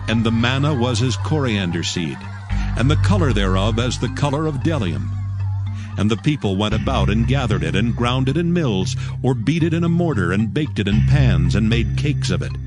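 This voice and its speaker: A man narrating scripture from a script in a measured, formal manner. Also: mid-range voice, expressive. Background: music.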